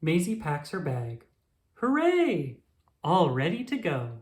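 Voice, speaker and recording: A woman reading a children's storybook aloud with expressive, animated storytelling and emphatic exclamations. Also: deep voice, takes it slowly. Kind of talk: scripted read-aloud narration for young children. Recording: roomy, echoing sound.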